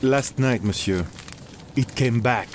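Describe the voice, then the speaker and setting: A man's voice: mid-range voice, slow pace, slight background noise, natural voice. An actor playing a respectful, plain-spoken male night guard in an audio drama. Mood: uneasy. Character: deferential, earnest male night guard, sure of himself.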